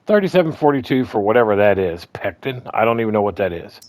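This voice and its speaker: A man with a slightly hoarse voice walking through his game farm in a casual, rambling way, full of ums and restarts. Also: lowish voice, very animated, fast talker, a little mellow, no room sound. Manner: rambling, repeats himself, lots of ums and restarts. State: slightly hoarse, clearing throat. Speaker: gaming guide host. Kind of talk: casual gameplay walkthrough.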